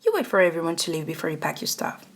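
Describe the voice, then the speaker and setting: A woman's voice: low voice, big pitch swings, fast talker. A woman reading a scripted second-person ASMR story as its narrator. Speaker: narrator and voice actor.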